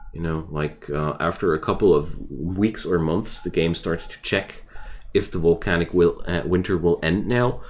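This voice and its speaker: A man who is not a native English speaker, chatting casually and rambling with viewers while streaming a game. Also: deep voice. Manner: rambling and chatty, restarts thoughts.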